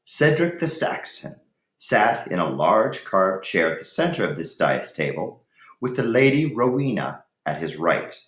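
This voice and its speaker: A man with a deep voice teaches and reads aloud. He is deliberate and instructive, leaving pauses between phrases. The room around him is noticeably echoey. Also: big pitch swings, a bit bright. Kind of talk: lesson talk and chapter read-aloud.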